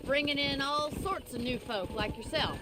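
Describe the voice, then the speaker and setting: Young ranch woman in a western game; frank, dry teasing, high bright voice, very unhurried delivery, music loud underneath. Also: rough recording. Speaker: game character. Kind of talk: video game voice acting. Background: loud game music, hoofbeats.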